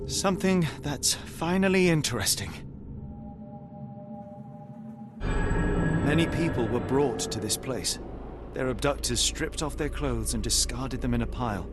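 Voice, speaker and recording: An actor playing a cerebral, self-assured young detective in a video game, with a British accent and precise, theatrical delivery. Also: male voice, mid-range voice, lively intonation, moderate pace, natural voice. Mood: analytical, grave. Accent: British English. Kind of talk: dramatic video game cutscene acting. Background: music.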